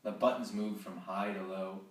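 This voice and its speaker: A man with a very low voice narrates a romance novel at an even, measured pace. The recording is distant and echoes heavily around the room, with a faint background hiss. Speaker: narrator reading an excerpt.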